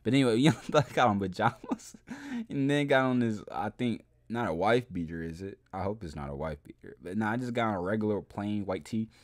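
A man's voice: fairly low pitch, a little fast, few pauses. A young man casually reacting to a music performance, rambling and chatty. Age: young adult. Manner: chatty, rambling, frequent "you know what I'm saying". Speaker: reaction video host. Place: indoor room, webcam overlay on video.

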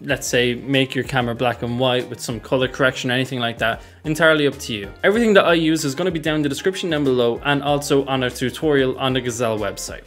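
A young man walks through software steps in a casual tutorial style. His mid-pitched voice is picked up by a close desk mic, with music playing behind him. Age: young adult. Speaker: tutorial host. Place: small room at home, indoors. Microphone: desk microphone close in front.

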